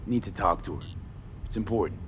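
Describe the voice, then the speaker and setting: An actor playing a terse, determined mercenary in a video game, matter-of-fact in delivery. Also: male voice, sits low, fairly quick, slight background noise, lightly acted. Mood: businesslike. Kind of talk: video game drama acting.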